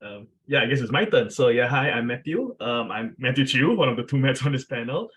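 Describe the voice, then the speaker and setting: A man from Singapore, a graduate student panelist, introducing himself on a video call in a friendly, relaxed way. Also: mid-range voice, a little fast.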